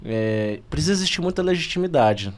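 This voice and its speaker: A man's voice: on the high side, expressive, a little fast. A Brazilian man in his thirties hosting a podcast interview, friendly and promotional, often adding "né?" to his remarks. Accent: Brazilian Portuguese. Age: 30s. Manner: friendly, promotional, with frequent "né?" tags. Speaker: podcast host.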